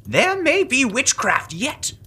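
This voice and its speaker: A woman's voice: sits high, sing-song, takes it slowly, noisy background, exaggerated voice. A woman playing a pompous, self-righteous leader of a Puritan witch-hunting club, declaiming archaic English in a comic audio drama. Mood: grandly suspicious.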